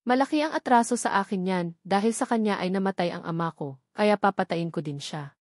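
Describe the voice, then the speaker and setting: A woman's voice: medium pitch, a little fast, a little mellow, no echo, clean studio sound, natural voice. A woman with a Filipino accent voicing a bitter, vengeful male crime boss in an audio novel, grim and threatening. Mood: bitter menace.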